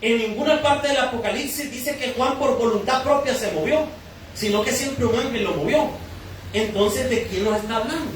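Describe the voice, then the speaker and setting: A Salvadoran pastor with a high voice preaches in a big echoing church hall, heard from some distance off the mic. He teaches by asking questions and answering them himself, with a faint room hum behind him. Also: normal speed. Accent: Salvadoran Spanish. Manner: teaching, asks and answers his own questions. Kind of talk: sermon. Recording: echoey church hall from far off.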